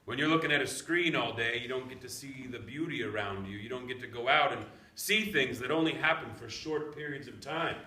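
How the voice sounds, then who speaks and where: A man's voice: mid-range voice, a little fast, few pauses, bright, forceful tone, natural voice. An actor in a staged play reading, playing a teasing, nostalgic country grandfather with a folksy manner. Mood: gently earnest. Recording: echoey room, background noise.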